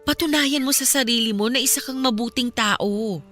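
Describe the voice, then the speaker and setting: A woman's voice: on the high side, moderate pace, a bit bright, soft background music, natural voice. An actress playing a caring, steadfast young Filipino woman who consoles a friend in a radio drama, earnest and reassuring. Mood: earnest encouragement.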